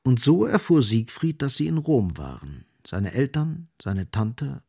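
A man's voice: mid-range voice, sing-song, measured pace, gravelly, dark tone, slightly rough, no room sound, studio quality. A man narrating a literary radio drama in a grave, dramatic storytelling manner.

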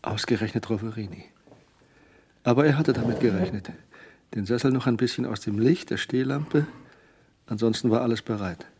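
A man's voice: mid-range voice, normal speed, long pauses, darkish tone, slight background noise, natural voice. A man acting as the dry, observant narrator of a German crime radio drama. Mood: dry, ironic.

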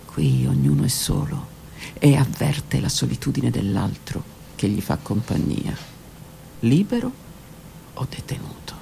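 A woman's voice: a bass voice, sing-song, unhurried, gravelly, dark tone. A woman narrating her own literary text for a radio theatre piece, intimate and often dropping to a whisper. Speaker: author and narrator.

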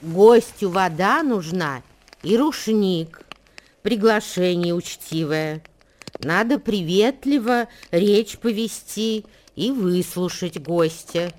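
Slow, solemn reciter of ancient wisdom. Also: female voice, medium pitch, lively intonation, a little mellow. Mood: solemn, instructive. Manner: solemn, measured, declamatory. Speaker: radio play performer. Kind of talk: dramatic verse recitation in a radio play. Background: music.

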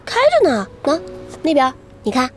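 A woman's voice: high voice, lively intonation, normal speed, soft background music. A woman playing a cheerful, sweet young woman in an audio drama, breezy and playfully teasing with a friend.

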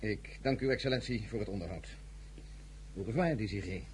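An actor in a radio play as a general's scheming brother, husky, formally polite and insinuating. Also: male voice, lowish voice, fairly quick, a little mellow, a little hiss or hum, close to an everyday voice. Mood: stiffly polite. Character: scheming, courteous brother of an ambitious French general.

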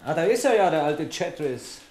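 A man's voice: a little high, big pitch swings, a little fast, a little mellow, slight background noise. An actor playing a cheeky, casual young male office junior in a German radio drama.

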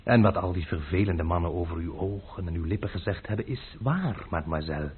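An actor playing a flippant young adventurer who flatters and jokes in a radio drama, on an old tinny recording. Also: male voice, deep voice, brisk, slight background noise, close to an everyday voice. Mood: wry. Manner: wry, gallant, flattering.

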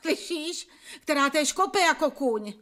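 An actress playing a teasing, rather pompous wife in a radio drama. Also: female voice, high-pitched, expressive, fairly bright tone. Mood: pompous reading. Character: teasing wife pompously reading newspaper aloud.

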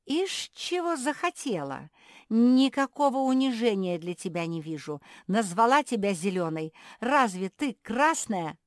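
A woman's voice: on the low side, steady tone, normal speed, no room sound, studio quality, natural voice. A woman voicing a sulky, defiant female pug in a children's story, snappish and indignant. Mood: indignant, loud.

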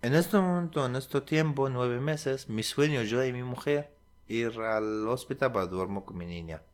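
Young Syrian man in halting Spanish. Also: medium pitch. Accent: Arabic. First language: Arabic. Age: young adult. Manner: halting, searching for words in broken Spanish. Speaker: guest. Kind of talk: interview, telling his story.

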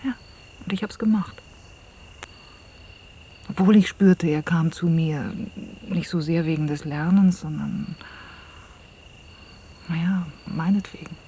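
An actress playing a troubled, soft-spoken woman in an intimate radio drama, often hushed to a near-whisper as she confides and narrates. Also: lowish voice, slow, long pauses. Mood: troubled, confiding. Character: troubled, soft-spoken woman recounting an entangled love affair. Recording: hissy old cassette radio-play recording.

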